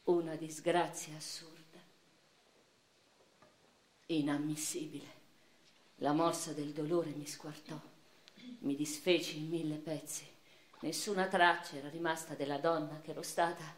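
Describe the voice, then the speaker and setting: A woman's voice: low voice, fairly even intonation, measured pace, pauses often. An actress playing a grieving, passionate eighteenth-century noblewoman poet who recounts her life in an intense, theatrical radio monologue. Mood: hushed grief. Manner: theatrical, intense, swinging from hushed sorrow to anguished outcry. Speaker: stage actress. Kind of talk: dramatic radio theatre monologue, first-person literary narration. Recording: roomy echo, faint music behind.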